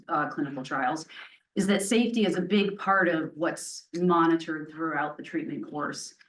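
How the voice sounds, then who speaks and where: A woman physician at a hotel conference podium, earnestly and reassuringly explaining drug safety to patients. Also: deep voice. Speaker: physician answering patient questions. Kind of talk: conference Q&A answer. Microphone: podium mic, heard from the room camera. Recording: echoey room, distant mic.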